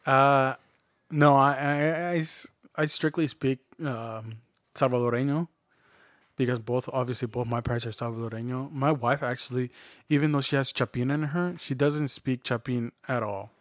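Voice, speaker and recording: A man's voice: medium pitch, normal speed, no echo, clean studio sound. A young man from Canada, a native Spanish speaker, chatting casually and joking as a podcast co-host. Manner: relaxed, joking, many fillers. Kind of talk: casual chat.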